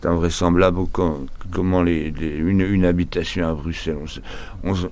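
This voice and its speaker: Laundromat owner, male, very low voice, loose chatty talker who restarts and repeats himself. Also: steady tone, moderate pace, darkish tone. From Portugal. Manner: chatty, stumbling with many repeated words. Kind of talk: casual interview chat.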